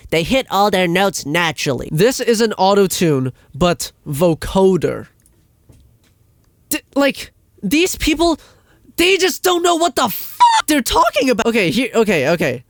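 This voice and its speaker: A man's voice: high voice, very animated, a little slow, no room sound, clean studio sound. A man giving a casual ranting commentary as a video host, exasperated, emphatic and sweary, often repeating himself for stress.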